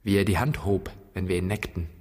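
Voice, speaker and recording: In a long, echoing space, a brooding son in his dead father's flat. His voice is low and husky. He speaks in terse, intense, poetic fragments, as in a radio play. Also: a little fast. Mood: haunted remembering.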